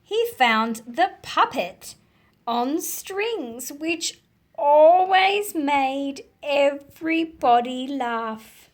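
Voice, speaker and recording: A woman reading a children's storybook aloud in an expressive, deliberate storyteller's manner. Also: high-pitched. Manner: slow, deliberate, animated delivery for young listeners.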